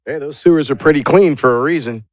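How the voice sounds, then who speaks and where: A man acting as game master, narrating an improvised tabletop game in a halting, conversational, jokey way. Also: on the high side, a little mellow, dry recording.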